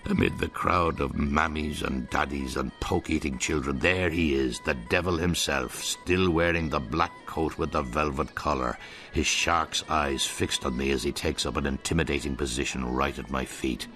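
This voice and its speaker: Very deep man, wry narration. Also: fairly even intonation, moderate pace, bright, forceful tone, faint music behind. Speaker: narrator, a piper. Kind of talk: first-person radio drama narration.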